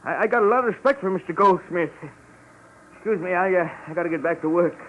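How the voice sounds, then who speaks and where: A man's voice: sits high, fast talker, lightly acted. Casting: man playing older jailbird street vendor, humble and jittery, stammering pleas, vintage radio crime drama, muffled and noisy sound. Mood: anxious. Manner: stammering, repeating himself, streetwise slangy speech. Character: nervous aging ex-convict street chestnut peddler, humble, pleading. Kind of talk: 1950s radio detective drama acting.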